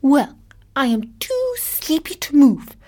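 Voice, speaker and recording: A woman's voice: high-pitched, sing-song, slow, dark tone, voice pushed up, exaggerated voice. A woman voicing a small, sleepy, boastful tortoise in an animal fable, taunting and unbothered. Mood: cheeky, unbothered.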